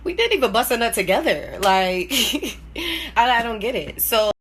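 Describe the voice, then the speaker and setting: A woman telling a personal story casually and candidly, blunt and profane, with lots of slang and animated acting-out of her reactions. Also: on the high side, unhurried, husky. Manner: animated, acts out quoted reactions. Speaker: storyteller hosting own vlog.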